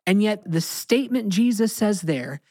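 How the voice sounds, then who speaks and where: A man's voice: high voice, expressive, moderate pace, warm tone. An American man co-hosting a casual Bible discussion, speaking earnestly and emphatically, often repeating phrases for weight. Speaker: podcast co-host and Bible teacher.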